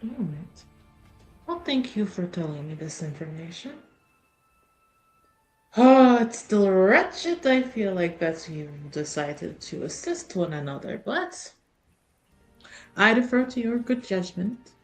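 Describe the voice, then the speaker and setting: Woman, roleplaying game player, slow deliberate speech, casual, wry, soft music in background. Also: mid-range voice, very animated. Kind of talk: casual tabletop roleplay chat.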